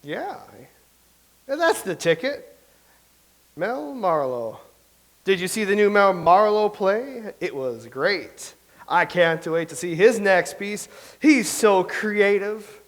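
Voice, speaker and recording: Guy reading as a jittery vain playwright, rambles on, kinda high voice. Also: lively intonation, slow pace, full character voice. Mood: rambling. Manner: rambling, nervous, self-pitying. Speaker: playwright character. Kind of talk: staged comedy reading.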